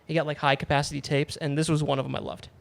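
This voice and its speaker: A man's voice: on the high side, little pitch movement, racing, gravelly. A man hosting a casual podcast, rambling with lots of fillers and casual swearing. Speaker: podcast host.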